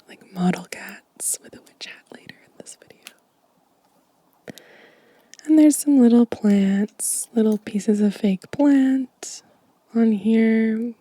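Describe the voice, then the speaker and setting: A woman speaks in a very soft, dark ASMR voice, mostly whispered and full of breath. She is gentle and casual, drifting between whisper and quiet voiced speech as she shows things off. The sound is close and dry. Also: fairly high pitch, slightly rough, no room sound. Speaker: ASMR video host. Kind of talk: ASMR show and tell, whispered and soft-spoken. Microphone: close microphone, dry sound.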